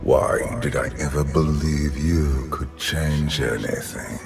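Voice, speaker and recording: An ancient, imperious game villain, a man with an extremely deep, gravelly voice. He speaks with slow, deliberate menace and lofty contempt, like a ruler lecturing a disappointing subject. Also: over music, heavily acted. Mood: grave, contemptuous. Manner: slow, deliberate, pompous, condescending. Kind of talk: theatrical video game villain acting.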